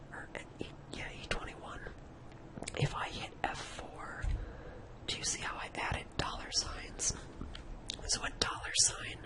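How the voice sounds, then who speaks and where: Someone whispering an informal ASMR-style spreadsheet tutorial, thinking aloud while demonstrating each step. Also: poor audio.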